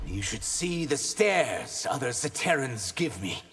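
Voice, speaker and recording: An actor playing an earnest young male reptilian shapeshifter, speaking formally and in measured phrases in a video game. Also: mid-range voice, very animated, a bit bright, breathy edge, exaggerated voice. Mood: guarded. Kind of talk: video game fight banter, dramatic voice acting.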